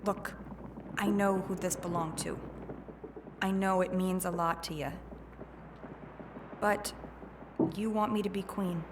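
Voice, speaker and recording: A woman's voice: fairly low pitch, fairly even intonation, slow, long pauses, noisy background. A woman playing a tough, defiant young thief queen, blunt, sweary and streetwise, in a fantasy audio drama. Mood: resolute. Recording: loud ship ambience behind voice.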